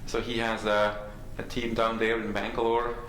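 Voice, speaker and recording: A man giving informal, halting introductions at a company event, self-deprecating and full of fillers. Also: fairly low pitch, monotone, fairly bright tone. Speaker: company host introducing guests. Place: large conference room. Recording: echoey room, faint noise.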